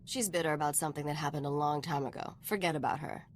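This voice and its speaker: Actress doing a low voiced lady smuggler for a game, blunt, kinda selfish, bit of noise. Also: fairly even intonation, natural voice. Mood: defensive, blunt. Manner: blunt, brisk, matter-of-fact. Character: hard-bitten, self-serving woman smuggler partner, unapologetic. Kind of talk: video game voice acting.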